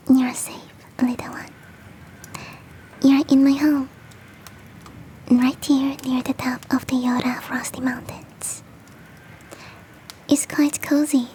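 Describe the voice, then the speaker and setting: A woman voicing a big, fluffy, motherly and flirty yeti in a soft, teasing, whispered ASMR roleplay. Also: sits high, slight background noise, low-quality recording. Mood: reassuring, cozy. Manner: tender, teasing, coaxing.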